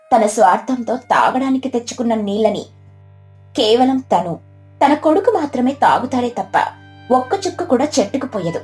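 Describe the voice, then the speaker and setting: Female storyteller for a children's tale, fairly high voice, music underneath. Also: a little mellow. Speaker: narrator. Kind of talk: scripted children's story narration.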